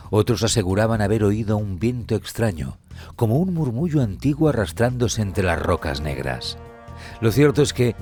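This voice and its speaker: A man hosting a true crime podcast, reading a scripted story in a measured, suspenseful way. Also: fairly low pitch, darkish tone. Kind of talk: scripted dramatic storytelling narration.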